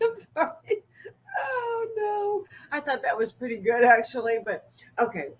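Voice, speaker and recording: A woman, talking casually through a makeup demonstration with lots of laughter and gentle instructions. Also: sits high, sing-song, unhurried, slightly rough, rough recording. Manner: chatty, giggly, gently bossy. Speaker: makeup artist.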